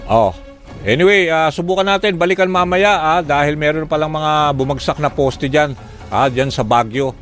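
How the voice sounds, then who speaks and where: A man's voice: fairly high pitch, normal speed, fairly bright tone, soft background music. A man with a Filipino accent anchoring live radio news coverage, interviewing in a conversational, hesitant way with frequent fillers. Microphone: close studio microphone.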